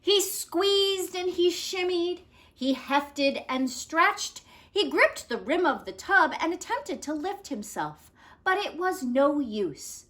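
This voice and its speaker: Female storyteller for children's picture books, unhurried and highly animated delivery. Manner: animated, deliberate phrasing. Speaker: storyteller reading aloud to children. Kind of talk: expressive picture-book read-aloud.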